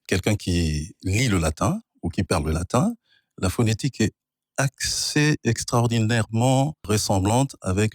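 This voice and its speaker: Un homme d'origine angolaise, de langue maternelle kikongo, qui explique la linguistique d'un ton posé et professoral. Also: male voice, on the low side, darkish tone, no room sound, clean studio sound. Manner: measured, professorial, spells out words. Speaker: guest, linguist and author. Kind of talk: explanatory interview answers.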